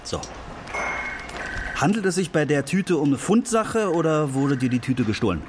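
An actor playing a flustered, fussily polite police officer in a German children's radio play, speaking hesitantly and repeating himself. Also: male voice, fairly high pitch, lively intonation, moderate pace, noisy background, natural voice. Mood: officious, impatient. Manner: hesitant, repetitive, fussily courteous.

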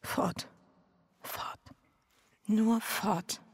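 An actress playing an anxious, guilt-ridden married woman in a German radio drama, speaking a tense, breathy inner monologue. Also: on the high side, fairly even intonation, extremely slow, no room sound, exaggerated voice. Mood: fearful. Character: anxious, guilt-ridden bourgeois adulterous wife. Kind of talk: German radio drama acting with first-person narration.

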